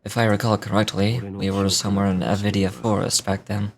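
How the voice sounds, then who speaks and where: A woman voicing a brooding, grave man from a fantasy game, with a low, grainy voice and terse, solemn delivery. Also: little pitch movement, a little fast. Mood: solemn, reflective. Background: game music.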